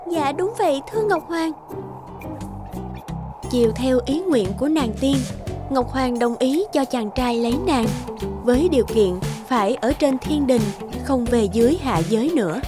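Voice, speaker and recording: High-voiced woman narrating a fairy tale. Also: moderate pace. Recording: music behind the voice.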